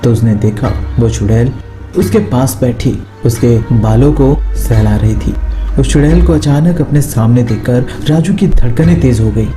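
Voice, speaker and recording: Male narrator for scripted horror tales, mid-range pitch, with prominent background music. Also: measured pace. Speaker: storyteller, narrator. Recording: music behind the voice.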